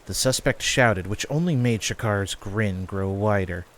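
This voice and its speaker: A man's voice: fairly low pitch, measured pace. A man reading scripted fantasy story narration as the narrator of an audio drama.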